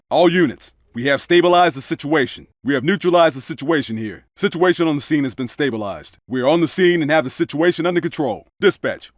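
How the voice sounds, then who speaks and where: An actor playing an undercover police officer in a video game, giving brisk, commanding tactical callouts in a clipped way. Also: male voice, a little high, dry recording, clean studio sound, close to an everyday voice. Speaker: video game player character voice. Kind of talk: video game combat callouts and radio dispatch reports.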